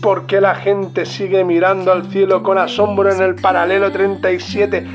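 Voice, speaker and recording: A man from narrates a scripted mystery documentary in a solemn, dramatic manner with deliberate pauses. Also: sits high, flat delivery, music in the background. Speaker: podcast host narrator.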